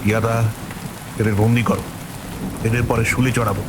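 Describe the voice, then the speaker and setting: A man's voice: lowish voice, level tone, moderate pace, very noisy, natural voice. Guy doing a bossy cartoon village chief, short temper, barks orders. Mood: angry, commanding. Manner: commanding, blustering. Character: despotic, hot-tempered village headman ordering executions. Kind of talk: Bengali cartoon voice acting.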